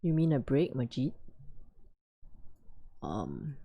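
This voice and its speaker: A woman hosting a casual trading livestream, talking quietly with a sore throat as she answers questions from chat. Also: very deep voice, very animated, slow pace, breathy edge, lo-fi sound. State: sore throat, losing her voice. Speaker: forex trading livestream host.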